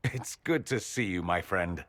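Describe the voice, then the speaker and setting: A man's voice: sits low, measured pace, a bit bright, no echo. An actor playing a witty, vain and sardonic nobleman mage with theatrical, flippant delivery in a video game. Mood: arch, playful. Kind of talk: dramatic video game dialogue.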